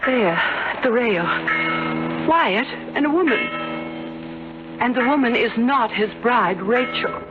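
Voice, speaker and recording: A fretful, suspicious wife in a gothic radio play. Her voice is high and swings expressively up and down, over a muffled old broadcast. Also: lively intonation, takes it slowly, pauses often, loud music behind the voice. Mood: ominous. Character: anxious, inquisitive, suspicious wife in gothic mystery. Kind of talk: 1970s radio drama acting.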